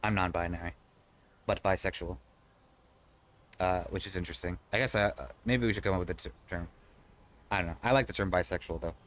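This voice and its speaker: Low-voiced man chatting on stream. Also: steady tone, brisk, pauses often, a bit bright. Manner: conversational and rambling, frequent restarts, swears casually. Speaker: live streamer. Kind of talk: casual live-stream chat, reading and answering chat.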